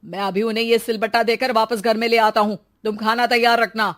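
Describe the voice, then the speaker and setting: A woman's voice: on the high side, little pitch movement, fairly quick, a bit bright, lightly acted. A woman voicing a stubborn, bossy young husband in a cartoon, talking in a scolding, commanding way. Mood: angry. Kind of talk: cartoon voice acting.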